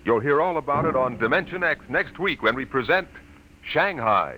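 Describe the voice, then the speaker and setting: A man's voice: mid-range voice, lively intonation, moderate pace. A man announcing in a dramatic 1950s radio style. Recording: noisy old radio broadcast.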